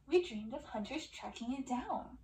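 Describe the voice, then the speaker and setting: A woman voicing cruel witch sisters from a storybook, mocking and deliberate in their taunts. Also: high-pitched, animated, warm tone. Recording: roomy, distant, faint background noise.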